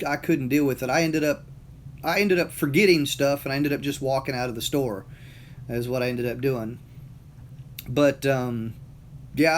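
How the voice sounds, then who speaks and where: A middle-aged man with a Southern US accent, talking casually and motivationally, rambling with many fillers and repeated words. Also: a little high. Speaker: motivational talker. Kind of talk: casual monologue.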